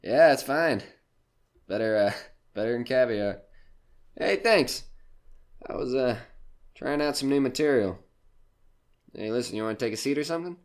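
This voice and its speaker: A man's voice: mid-range voice, animated, moderate pace, long pauses, lightly acted. Man acting a jaded comic with wide-swinging delivery. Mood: weary, polite. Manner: grumbling, brash, curt. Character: jaded, foul-mouthed nightclub comedian, bitter and weary. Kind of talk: audio drama acting.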